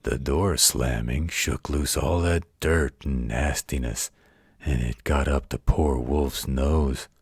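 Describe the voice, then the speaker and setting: Man playing very deep, husky werewolf protector. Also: steady tone, dry recording, studio quality, full character voice. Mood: folksy storytelling. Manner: folksy, unhurried, gently wry. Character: gruff, protective male werewolf, tender bedtime storyteller. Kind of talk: intimate bedtime-story roleplay.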